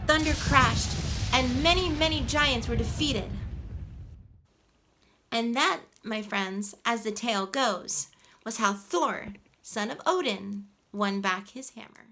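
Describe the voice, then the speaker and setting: Bright, high-voiced mermaid storyteller played by a woman. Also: slow, exaggerated voice. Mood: storytelling. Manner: animated, coaxing, asks listeners questions. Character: playful, sweet female mermaid storyteller. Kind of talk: children's storytelling, addressed to the audience. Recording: faint background ambient noise.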